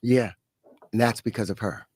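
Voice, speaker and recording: Relaxed, low-voiced man in his fifties. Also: normal speed, slightly rough, dry recording, clean studio sound. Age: 50s. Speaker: podcast guest. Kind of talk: casual podcast conversation.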